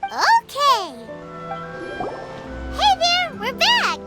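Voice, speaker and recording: A woman voicing a tiny childlike fairy companion in a video game, chirpy, cheeky and excitable. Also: very high voice, extremely slow, full character voice. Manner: speaks of self in third person, blunt. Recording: game music behind the voice.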